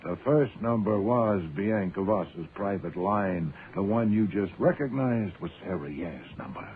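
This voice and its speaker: A man's voice: fairly low pitch, animated, a little slow. A man playing an imperious, proud genius detective in a radio drama, with pompous, precise, formal diction. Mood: commanding. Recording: muffled, noisy phone-like old radio sound.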